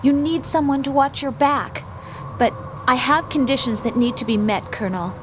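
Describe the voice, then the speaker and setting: A woman playing an earnest young female analyst, highish voice. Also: moderate pace, noisy background, lightly acted. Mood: firm, defiant. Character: young female intelligence analyst, protective, worried, headstrong girlfriend. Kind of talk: video game voice acting.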